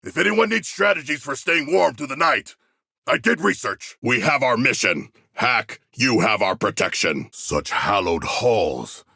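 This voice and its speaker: An actor playing a huge, earnest, literal-minded humanoid shark warrior in a video game, with a gravelly, husky voice and formal, grandiose phrasing. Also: male voice, mid-range voice, very animated, unhurried, sharp tone, dry recording, studio quality, full character voice. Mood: earnest, solemn.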